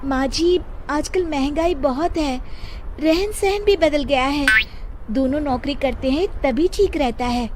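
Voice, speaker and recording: A woman voicing a polite young working daughter-in-law in a cartoon, expressive and pleading. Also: high voice, slow pace, slight background noise. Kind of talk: animated cartoon voice acting.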